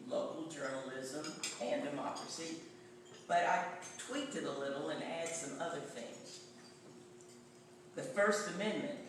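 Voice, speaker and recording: A middle-aged man with a Tennessee accent, a journalism professor giving a wry, anecdotal public talk. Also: on the high side. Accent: Tennessee Southern. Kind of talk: public lecture. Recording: echoey hall, voice far from mic, background noise.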